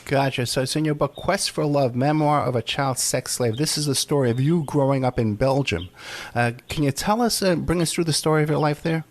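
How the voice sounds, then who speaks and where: A man's voice: on the high side, a little fast. A man hosting an interview, asking questions in a casual, conversational way. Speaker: host.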